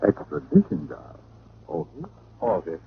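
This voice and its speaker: A man's voice: on the low side, wildly swooping pitch, measured pace, creaky, slightly rough, no echo, heavily acted. An American actor playing a witty, sardonic private detective who narrates his case in old-time radio style. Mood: teasing. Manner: wry, sardonic. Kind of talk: 1940s-50s radio detective acting and narration. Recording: muffled, hissy vintage radio recording.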